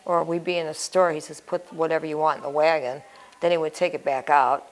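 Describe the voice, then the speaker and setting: A woman's voice: low voice, steady tone, fairly quick, low-quality recording. An older American woman giving a personal interview, plain-spoken, answering in short, fragmentary phrases. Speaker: interview guest. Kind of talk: personal life-story interview.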